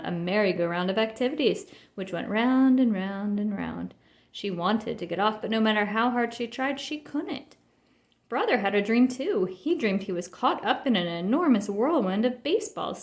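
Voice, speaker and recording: A woman's voice: mid-range voice, expressive, normal speed, reverberant. A woman narrating a children's storybook as a scripted read-aloud.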